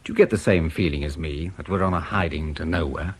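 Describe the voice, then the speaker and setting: An actor playing a probing, sceptical intelligence investigator in a radio drama, formal, precise and dryly polite. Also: male voice, very deep voice, fast. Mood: pensive. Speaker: interrogator in a spy drama.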